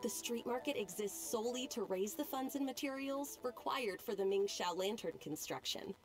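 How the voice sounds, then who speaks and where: A friendly, well-informed woman eagerly explaining a festival to newcomers, voiced by a woman. Her voice is fairly high and very lively in pitch. Game music plays clearly underneath. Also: moderate pace, natural voice. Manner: chatty, informative, upbeat. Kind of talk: video game voice acting.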